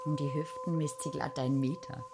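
A woman's voice: low-pitched, huge pitch swings, fairly quick, over loud music. An actress playing a practical young woman and secret lover in a radio drama, speaking in a natural, intimate way. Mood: drowsy, matter-of-fact. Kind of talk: German radio drama acting.

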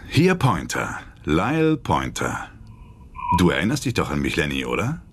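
An actor playing a slyly confident, menacing criminal in a German radio drama, drawling and smugly commanding. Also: male voice, very deep voice, huge pitch swings, normal speed, noisy background, exaggerated voice. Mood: smugly threatening.

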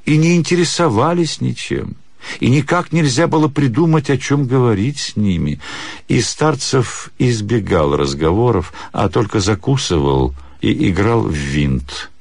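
Male actor for radio story narration: low register, measured delivery, deliberate pauses, music underneath. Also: dry recording. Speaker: actor reading a short story. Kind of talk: dramatic literary narration for radio.

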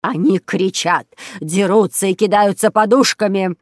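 A woman voicing a furious, fussy older female neighbour in a children's storybook, loud and indignant. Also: medium pitch, unhurried, no echo, clean studio sound, close to an everyday voice. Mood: indignant, demanding. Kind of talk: children's audio storybook character voice.

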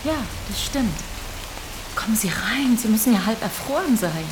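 An actress in a German radio drama playing a polite, uneasy farmer's wife, accommodating but cautious. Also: female voice, fairly high pitch, lively intonation, moderate pace, noisy background, close to an everyday voice. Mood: welcoming, polite.

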